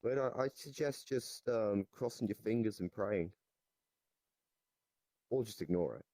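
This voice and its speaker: A young man improvising comedic chat in a deadpan, hesitant way. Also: on the low side, measured pace, no room sound. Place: online voice chat in a virtual reality game.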